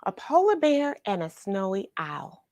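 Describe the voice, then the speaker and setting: A woman telling a children's picture story in an expressive way, pausing for chatty questions to the young listener. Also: medium pitch, no room sound. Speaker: children's read-along storyteller and host. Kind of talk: animated picture-book read-aloud with questions to young listeners.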